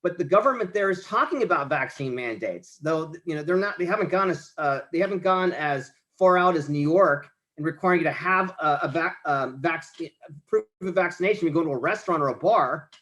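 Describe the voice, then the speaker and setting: A man's voice: a little high, lively intonation, brisk, a bit bright. A man in his late forties from the United States, a podcast guest talking about marathons, rambling and restarting his sentences often. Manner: rambling, many restarts and stammers. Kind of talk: casual conversation.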